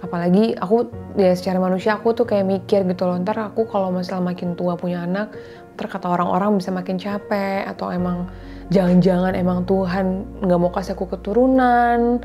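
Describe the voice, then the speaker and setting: A woman in her thirties speaks in a mellow, warm voice, earnest and confiding as she addresses her viewers directly. Her talk is casual and sprinkled with English words. Soft music plays faintly behind her. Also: mid-range voice, fairly even intonation, a little fast. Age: 30s. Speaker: vlogger sharing faith and marriage advice.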